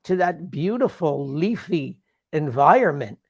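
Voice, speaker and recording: A man in his sixties from the Philadelphia Main Line, co-hosting a casual live chat in a courtly, playfully flattering manner. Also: a little high, unhurried. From Philadelphia Main Line, USA. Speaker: co-host.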